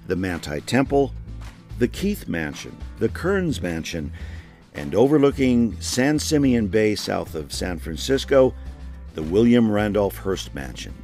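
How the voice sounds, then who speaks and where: A man's voice: fairly low pitch, very animated, measured pace, darkish tone. A man narrating a scripted documentary in a folksy, conversational storytelling way. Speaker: narrator and host. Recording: music behind narration.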